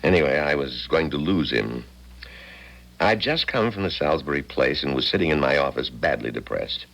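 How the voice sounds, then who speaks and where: An actor in a 1970s radio drama playing a weary, honest older country doctor, plain-spoken and resigned. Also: male voice, a bass voice, expressive, normal speed, creaky, a bit bright, heavily acted, rough recording. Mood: resigned, reflective.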